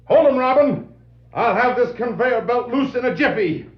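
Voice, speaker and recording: An actor playing a resourceful, steady masked hero with an earnest delivery, in 1960s radio-play style. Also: male voice, very high-pitched, moderate pace. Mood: urgent. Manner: earnest, declarative heroic delivery. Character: steady, resourceful adult male masked crime fighter. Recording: muffled, noisy 1960s vinyl record.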